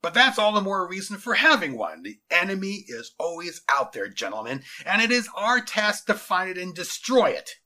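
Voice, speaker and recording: Man putting on a pompous, forceful militia general. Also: high voice, sing-song, normal speed, hardly any pauses, pitched above the performer's own voice, heavily acted. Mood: rousing, martial. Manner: pompous, oratorical. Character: domineering self-appointed militia general, outspoken zealot.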